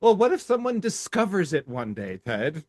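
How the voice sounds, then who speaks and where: A man co-hosting a podcast in casual chat, animated and laughing often, restarting his sentences as he talks. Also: a little high, moderate pace, no echo, studio quality. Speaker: podcast co-host.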